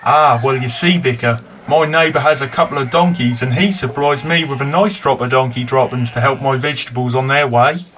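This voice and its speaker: A man's voice: medium pitch, fairly quick, hardly any pauses, a bit bright, a little hiss or hum, natural voice. A man playing an ingratiating, folksy English village marrow grower in a comic radio drama.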